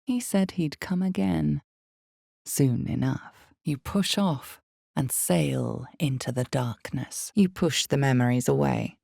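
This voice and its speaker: Female game narrator: omniscient, ominous, dark mellow tone with heavy fry, measured dramatic delivery, very dry close sound. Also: no echo, exaggerated voice. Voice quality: creaky, low. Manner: slow, deliberate, dramatic storytelling. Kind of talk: scripted second-person dark fantasy narration.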